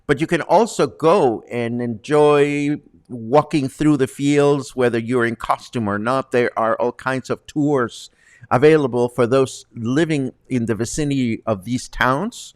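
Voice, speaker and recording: A man's voice: mid-range voice, a little slow, dry recording, clean studio sound. A man presenting as a show host in a chatty, conversational way with wry asides. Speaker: host presenting news and interviews.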